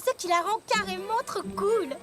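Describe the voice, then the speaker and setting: Very high-pitched snobby filly. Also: female voice, moderate pace, loud music behind the voice. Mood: gushing. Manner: affected, haughty, theatrical. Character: snobby, gushing schoolgirl filly, a little cartoon pony. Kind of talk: French cartoon dub acting.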